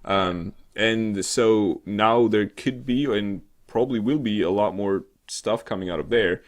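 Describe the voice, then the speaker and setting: A man co-hosting a casual gaming talk show, rambling and halting, with an irreverent, sarcastic, sweary way of talking. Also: on the low side. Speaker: co-host of a gaming news show. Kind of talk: casual chat about games news.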